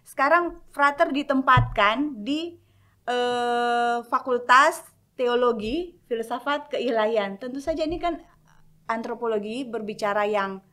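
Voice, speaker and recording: A chatty woman hosting a casual podcast interview, with a bright, forceful voice pitched a little high. She draws her guest out with playful asides and quick questions. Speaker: podcast host.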